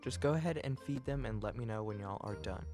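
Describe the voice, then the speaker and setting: A man with an ordinary mid-range voice chats through an improvised game roleplay. His delivery is exclamatory and bantering, and music plays clearly behind him. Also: medium pitch, fast talker, a little mellow. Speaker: host playing a parent in a roleplay.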